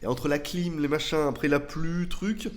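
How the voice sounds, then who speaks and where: A man's voice: on the high side, moderate pace, a little hiss or hum. A man hosting a tech channel, chatting loosely through viewer questions with a head cold, rambling and slangy. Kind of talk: casual off-the-cuff chat.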